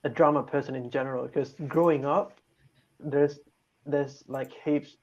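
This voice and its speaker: A man's voice: on the high side, fairly even intonation, measured pace. A man from Malaysia, talking hesitantly as a guest, thinking aloud with many restarts. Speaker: guest on talk show. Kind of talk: casual chat. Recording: dull lo-fi mic.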